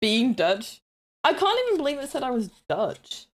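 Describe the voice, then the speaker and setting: A woman's voice: sits high, very animated, moderate pace. Woman, New Zealand accent, rambling live-stream chatter, self-deprecating, casual swearing. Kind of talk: casual live-stream chat while building models.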